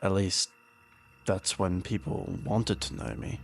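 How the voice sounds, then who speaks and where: A man's voice: low voice, flat delivery, slow, gravelly, slight background noise, heavily acted. A man playing a haunted, paranoid conspiracy theorist, rambling uneasily and confidingly in an audio drama taped diary. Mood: uneasy, defensive.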